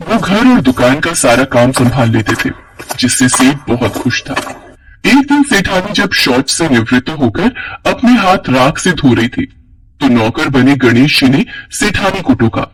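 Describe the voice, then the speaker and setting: A man's voice: medium pitch, sing-song, a little slow, breathy edge, low-quality recording. A man narrating a scripted cartoon story as a storyteller.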